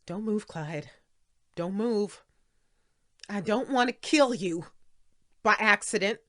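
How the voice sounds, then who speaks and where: An actress playing a fed-up, tough wife and writer in an audio play, curt, exasperated and commanding. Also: female voice, medium pitch, slow, a bit bright. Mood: cold command.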